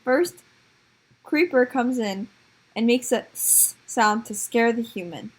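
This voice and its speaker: A woman improvising comic stories for a puppet show in a giggly, halting way. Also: fairly high pitch, noisy background. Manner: giggly, halting, making it up as she goes.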